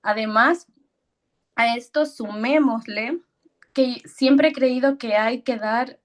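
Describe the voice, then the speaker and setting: A woman in her thirties from Ecuador speaks slowly and nervously, with many fillers and soft laughs. Her voice sits a little high, and she tells her story in a personal, hesitant way. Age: 30s. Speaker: guest speaker.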